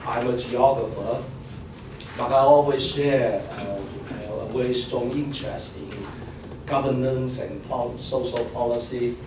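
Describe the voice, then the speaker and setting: A man giving a conference keynote in a soft, courteous, formal way, stuffy from allergies. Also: mid-range voice, steady tone, measured pace. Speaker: keynote speaker, geographer. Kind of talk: academic conference talk. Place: conference room. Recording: very echoey room, distant mic, noisy.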